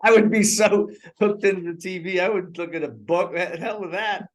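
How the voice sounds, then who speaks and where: A man in his sixties co-hosting a casual movie review over a remote call, talking in a rambling, animated way and laughing often. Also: high voice, normal speed. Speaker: co-host. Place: in Thailand.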